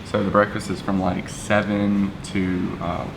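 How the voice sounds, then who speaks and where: A man vlogging casually over breakfast in a hotel dining area, rambling with fillers, his voice a little raspy from the early hour. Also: low voice, measured pace. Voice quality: slightly raspy in the early morning. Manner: relaxed and rambling, with many fillers. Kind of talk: casual vlog chat. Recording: echoey room with background noise.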